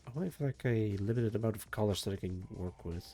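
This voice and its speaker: Sleepy low-voiced man streaming. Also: fast. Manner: soft, meandering, mumbles to himself, trails off. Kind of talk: casual live-stream chat while working. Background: faint energetic soundtrack music.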